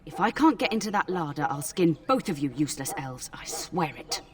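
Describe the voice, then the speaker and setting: A woman's voice: low voice, very animated, a little fast. A woman voicing a cranky older castle cook who scolds and blusters, in fantasy video game acting. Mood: furious.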